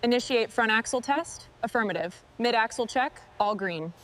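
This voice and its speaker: Brisk woman issuing workshop commands. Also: fairly high pitch, expressive, a bit bright. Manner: crisp, businesslike commands. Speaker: restoration supervisor. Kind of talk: scripted workshop dialogue.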